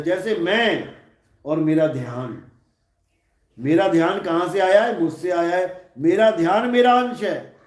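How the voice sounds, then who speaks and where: Man preaching at a slow, measured pace, posing questions and answering them, echoey hall sound. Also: high voice, a little mellow. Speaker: spiritual teacher. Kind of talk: live satsang discourse. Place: large hall.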